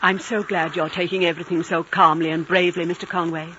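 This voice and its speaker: A woman's voice: on the low side, flat delivery, fast talker, pushed and bright. A prim missionary lady in a 1950s radio drama. She sounds proper and plucky. The old broadcast is dull with faint noise. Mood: earnest, composed.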